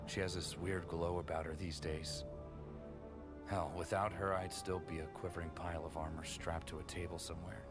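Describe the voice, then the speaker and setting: Guy doing a battle-worn sardonic soldier, low voice, loud music all over it, audio drama. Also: little pitch movement, normal speed, natural voice. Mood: wry, weary. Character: bitter, sardonic, battle-worn male warrior.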